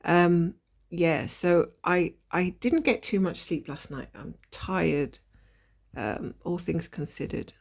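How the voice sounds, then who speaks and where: Softly chatty woman, a bit nasal. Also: on the low side, slow, vocal fry, breathy edge. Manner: chatty, apologetic, laughs softly. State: nasal and slightly hoarse from a cold. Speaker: cross-stitch vlogger. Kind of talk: casual stitch-along chat. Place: at a desk indoors.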